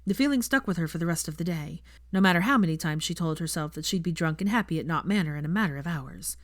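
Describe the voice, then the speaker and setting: A woman's voice: lowish voice, animated, quick pace, dry recording, clean studio sound. A woman narrating scripted fiction as an audiobook reader.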